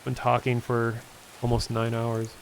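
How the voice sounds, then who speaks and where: A man streaming a game, casually answering chat and talking through play, hoarse from losing his voice after hours of talking. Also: mid-range voice, measured pace, a little hiss or hum, low-quality recording. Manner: relaxed, easygoing, answers chat questions. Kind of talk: casual gameplay commentary, reading chat.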